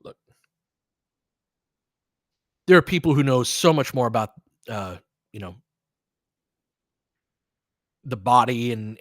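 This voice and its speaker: A man's voice: medium pitch, fairly bright tone, no room sound, clean studio sound. A man doing casual live basketball commentary as host, chatting with listeners in a conversational, mildly profane way with trailing asides. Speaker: basketball play-by-play host and coach.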